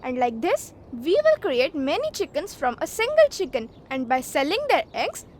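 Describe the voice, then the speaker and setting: A woman voicing an eager, boastful young boy with get-rich schemes in an animated children's story. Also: high-pitched, normal speed, slight background noise. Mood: excited, scheming. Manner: excitable, boastful.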